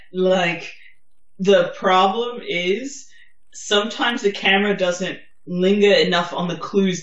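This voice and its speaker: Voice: medium pitch, fairly bright tone. A co-host in a casual chat reviewing a TV episode, opinionated and wry, swearing freely. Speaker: podcast co-host. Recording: roomy, distant, dull sound.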